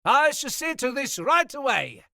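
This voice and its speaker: A man voicing a thin middle-aged harbor man who greets loudly with a heavy accent. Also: very animated, a little slow, vocal fry, no echo, clean studio sound, heavily acted. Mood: exuberant. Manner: booming, heavily accented greeting.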